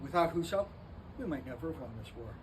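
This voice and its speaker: A man working as a living history interpreter, telling a story to visitors in an animated, inviting way and asking the audience questions. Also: a little high, fast. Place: historic park. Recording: distant mic with outdoor ambient noise.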